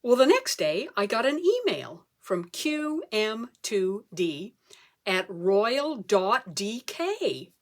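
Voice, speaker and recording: A woman performing a comic story as a speech, theatrical, with dramatic pauses and emphasis. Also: medium pitch, sing-song. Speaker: club speaker telling a humorous tall tale.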